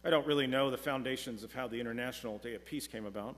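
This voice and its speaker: Male pastor, middle-aged, earnest measured sermon, mid-pitched voice, long church reverb. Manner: measured, earnest preaching, slowing for scripture. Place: church sanctuary, speaking from a pulpit. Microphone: pulpit mic, far camera.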